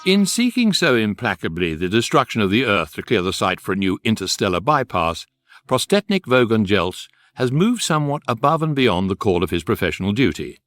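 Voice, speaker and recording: An actor playing a wry, erudite guidebook narrator in a sci-fi radio comedy. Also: male voice, on the low side, brisk, no echo. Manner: wry, deadpan irony.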